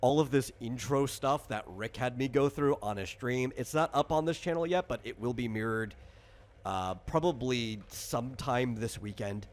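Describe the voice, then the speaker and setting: A man's voice: medium pitch, normal speed. A man hosting and explaining a game in casual chat, thinking aloud in a rambling way. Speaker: game designer and host.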